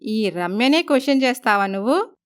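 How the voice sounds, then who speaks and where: A woman's voice: fairly high pitch, animated, moderate pace, smooth, lightly acted. A woman voicing an arrogant, commanding young businesswoman who scolds people in a moral story. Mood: threatening. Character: arrogant, bossy young businesswoman who bullies her husband. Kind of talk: dramatised moral story voice acting.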